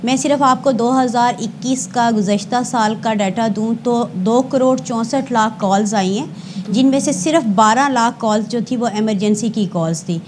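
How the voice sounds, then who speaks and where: High-pitched Pakistani woman, formal spokesperson. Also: normal speed, background noise. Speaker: emergency service spokesperson, interview guest. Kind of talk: explanatory interview answers with statistics, Urdu mixed with English.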